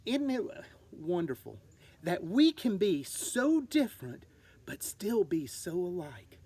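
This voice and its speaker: A storyteller reading a picture book aloud to children, gently and simply explaining as they go. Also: mid-range voice, very animated, slow pace, breathy edge. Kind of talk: expressive picture-book narration.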